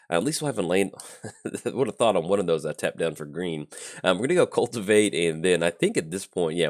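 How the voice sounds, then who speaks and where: A man with a raspy, not yet warmed-up voice, casually narrating a card game as a channel host in an upbeat, chatty way. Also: low-pitched.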